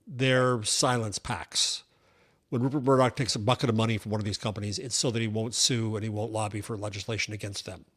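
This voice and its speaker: A man's voice: lowish voice, steady tone, brisk, fairly bright tone. Thoughtful older man, media critic guest. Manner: thoughtful, argumentative, stumbles on restarts. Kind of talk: casual interview chat.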